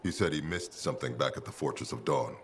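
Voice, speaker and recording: An actor playing a calm, dutiful man in a video game drama, dry and formal in his speech. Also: very deep voice, fast talker. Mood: dry, reserved.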